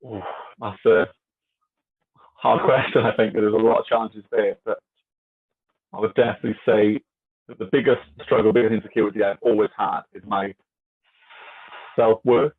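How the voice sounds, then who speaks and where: Male guest with a stutter: low, husky, flat delivery over a thin, phone-like line. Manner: earnest, halting, open. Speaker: interview guest. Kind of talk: reflective casual talk.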